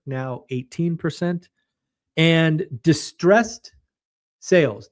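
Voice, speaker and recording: A man hosting a real estate show, giving blunt, emphatic market commentary in a slightly hoarse voice. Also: fairly high pitch, darkish tone. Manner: emphatic, blunt and colorful, mocking. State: slightly hoarse, losing his voice.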